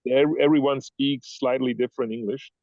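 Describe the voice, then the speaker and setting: A gravelly man with a mixed German-Italian accent, German being his first language. He rambles and hunts for words in a casual interview. The sound is dry and dull, like a lo-fi online call. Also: mid-range voice, fairly even intonation, normal speed, darkish tone, no room sound. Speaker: guest. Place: Ibiza.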